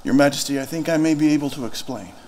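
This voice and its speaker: An actor playing a polite young prince in an audio fairy-tale drama. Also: male voice, a little high, fast, a little mellow, lightly acted, poor audio. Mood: polite, calm. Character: polite young prince, the heroine's explaining brother.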